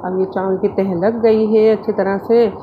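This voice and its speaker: A woman's voice: medium pitch, steady tone, measured pace. Woman narrating a recipe, muffled noisy recording. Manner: repetitive, explains each action as she does it. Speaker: home cook hosting a recipe video. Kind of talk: step-by-step cooking narration. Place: indoor home kitchen. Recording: dull lo-fi phone-like home recording, clear background noise.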